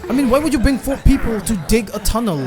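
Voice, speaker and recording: A woman's voice: a little high, fast talker, music in the background. A young adult woman giving casual gameplay commentary, blunt, incredulous and jokey. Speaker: game streamer.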